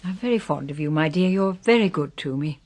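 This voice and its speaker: An actress playing a proud, wistful English widow in her sixties who is ailing, in a solemn, measured radio drama style. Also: deep voice, animated, a little mellow, slight background noise. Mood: fond, troubled. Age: 60s.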